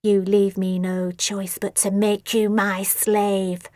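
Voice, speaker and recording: A woman voicing a bratty, cruel girl who snarls shrill threats and orders. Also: slow pace, no echo, clean studio sound. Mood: ranting.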